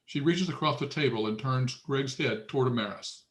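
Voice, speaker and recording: A man's voice: mid-range voice, normal speed. A man narrating stage directions matter-of-factly at a screenplay table read.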